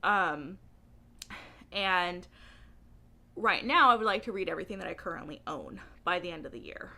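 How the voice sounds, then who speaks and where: A woman talking in a casual, chatty book vlog style, with a giggly, animated and informal, rambling manner. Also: fairly low pitch, normal speed, gravelly. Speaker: book reviewer vlogger.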